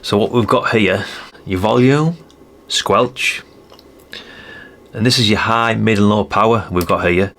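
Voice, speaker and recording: A man's voice: lowish voice, a little slow, long pauses, a bit bright. A British man giving a casual hands-on showcase as a video host, chatty and rambling with frequent tag questions. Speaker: host of a radio hobby channel.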